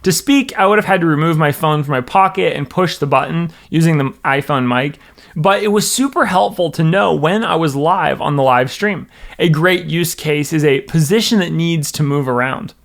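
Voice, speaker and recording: A man's voice: a little high, moderate pace, vocal fry, a bit bright. A man with an American accent presenting a scripted product review in an instructional, methodical way. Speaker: presenter, church production director.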